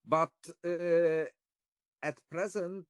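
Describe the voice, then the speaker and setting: An older man with a Hungarian accent talks as an interview guest. His voice sits a little high and moves very slowly, pausing as he searches for words. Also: steady tone, smooth, dry recording. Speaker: interview guest, retired diplomat. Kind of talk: interview talk.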